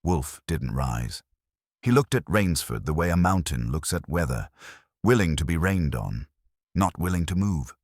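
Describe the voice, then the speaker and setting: A man's voice: a bass voice, lively intonation, unhurried, no echo, clean studio sound. A man reading scripted first-person noir mystery narration in a wry manner.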